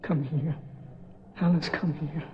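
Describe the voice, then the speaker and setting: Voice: medium pitch, fairly even intonation, slow, lightly acted. An actor playing an eerie male ghost who beckons repetitively in a radio drama. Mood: eerie, luring. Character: eerie, luring male ghost calling a woman. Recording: thin phone-like sound, faint noise.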